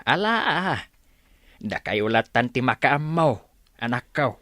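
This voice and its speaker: A man's voice: medium pitch, animated, slow pace, pushed and bright, no echo, clean studio sound. A man with an Ilocano accent acting a stubborn, bossy village father in a radio drama, blunt and emphatic. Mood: tender, resigned. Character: stubborn village father, bossy yet tender to his daughter.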